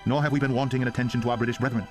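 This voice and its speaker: A man with a mid-range voice delivers a formal, impassioned dramatic reading. His oratory is solemn and stately, and music plays clearly behind him. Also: mid-range voice, fairly even intonation, racing. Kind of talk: dramatic reading of a historical declaration.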